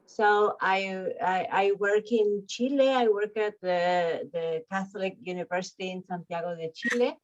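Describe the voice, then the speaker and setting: A woman's voice: mid-range voice, unhurried, hardly any pauses, background noise. A woman from Argentina, a linguist, introducing her work carefully and searching for words. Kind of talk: video call introduction. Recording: dull, lo-fi audio.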